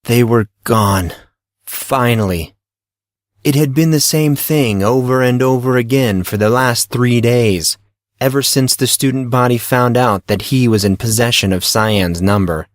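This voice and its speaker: A man's voice: mid-range voice, measured pace, no room sound. Man narrating a story, very dry sound. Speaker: audiobook narrator.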